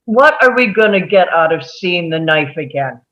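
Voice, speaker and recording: A woman's voice: lowish voice, lively intonation, moderate pace, a bit bright, dull audio. A woman playing an impatient, domineering male juror in a script table read, with a brusque, sneering manner. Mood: sarcastic, insistent.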